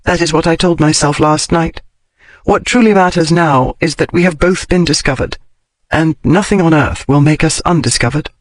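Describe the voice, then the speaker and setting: A man putting on a sharp-tongued period lady. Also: normal speed, no room sound, natural voice, low-quality recording. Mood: insistent. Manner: crisp, formal period speech rising in pitch. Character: blunt, logical Victorian-era lady, wry and emotional. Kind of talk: audiobook character reading.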